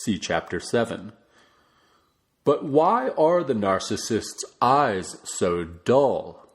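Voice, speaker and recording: A man reading a non-fiction book aloud as a narrator, with measured, deliberate phrasing. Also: fairly low pitch, very animated. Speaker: audiobook reader.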